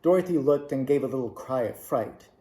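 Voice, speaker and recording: A man reading a classic children's novel aloud, with measured, expressive narration. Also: mid-range voice. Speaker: storybook reader. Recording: roomy echo.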